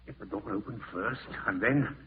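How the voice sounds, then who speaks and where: Actor as a villainous schemer, tinny old radio. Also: male voice, fairly low pitch, normal speed, a little hiss or hum, natural voice. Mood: gloating. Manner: menacing, melodramatic, muttering to himself. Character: cruel scheming man gloating, then frantic with panic. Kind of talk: 1940s radio horror acting. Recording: tinny, band-limited 1940s radio recording.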